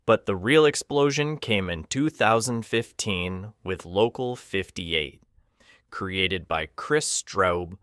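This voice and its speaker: Slow, low-voiced man narrating ominously. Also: a bit bright, no room sound, studio quality. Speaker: documentary narrator. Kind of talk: scripted documentary narration.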